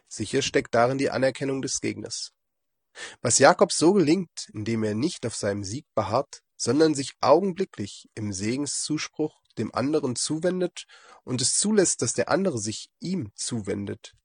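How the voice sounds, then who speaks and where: A man with a husky voice, hoarse from a cold, giving a calm, measured daily devotion as a lay preacher. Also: medium pitch, no echo, clean studio sound. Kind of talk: devotional reflection.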